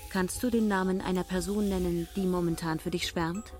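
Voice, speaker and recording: An actress playing the impersonal, formal questioner of a secretive movement in a radio play, with a detached, scripted manner. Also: female voice, on the low side, steady tone, fairly quick, darkish tone, soft background music, natural voice.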